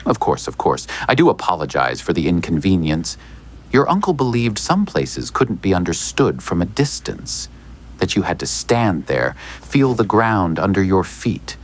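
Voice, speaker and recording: An actor playing a smooth, evasive small-town lawyer in an audio drama, speaking in a measured, theatrical, hinting way. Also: male voice, low-pitched, sing-song. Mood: apologetic.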